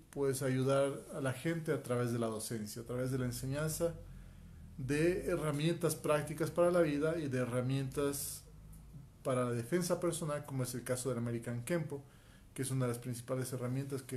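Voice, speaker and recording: A man's voice: mid-range voice, darkish tone, slight background noise. A man in his forties from Quito with an Ecuadorian Spanish accent, a martial arts teacher introducing himself earnestly straight to camera, often tagging "ok?". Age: 40s. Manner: earnest, addresses viewer directly, frequent "ok?" tags. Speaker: martial arts instructor and life coach. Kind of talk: direct-to-camera self introduction. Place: small room at home, indoors. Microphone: phone camera at arm's length.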